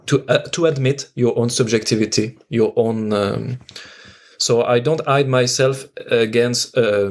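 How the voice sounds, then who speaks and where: A man speaking as an actor and guest on a panel, thinking aloud reflectively and searching for words as he goes. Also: mid-range voice. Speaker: actor and guest speaker on a theatre talk show.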